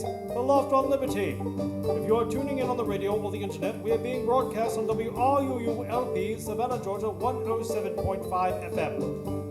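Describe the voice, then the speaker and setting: A man working as a radio announcer, reading a scripted station announcement. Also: high voice. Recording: roomy live recording with loud music. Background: loud music.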